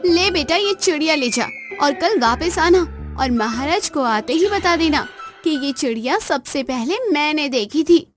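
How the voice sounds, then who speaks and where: महिला की आवाज़ में ऊँचे सुर की घमंडी रानी. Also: female voice, high voice, expressive, unhurried, over music, pitched above the performer's own voice. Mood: commanding, scheming. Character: vain, possessive queen, bossy rival wife. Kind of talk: cartoon story dialogue.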